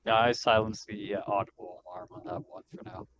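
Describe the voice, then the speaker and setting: A man's voice: fairly low pitch, fairly even intonation, a little fast, highs cut off, a crowd in the background. A man playing a tabletop roleplaying game, chatting casually as he describes his moves.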